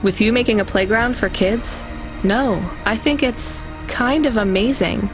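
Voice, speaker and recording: A woman's voice: on the high side, a little slow, over music, close to an everyday voice. An actress playing a young woman delivery driver in a video game, a plain-spoken, guarded newcomer to the city. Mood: dry, curious.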